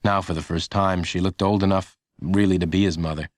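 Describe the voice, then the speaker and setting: A man reading a children's audiobook as scripted narration, in a measured, dramatic storytelling manner. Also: sits low, fairly even intonation, no echo, studio quality.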